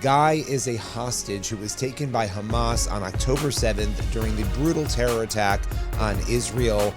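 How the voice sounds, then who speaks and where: A man hosting an interview podcast, speaking earnestly, his voice slightly hoarse. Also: lowish voice, moderate pace, music in the background. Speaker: podcast host.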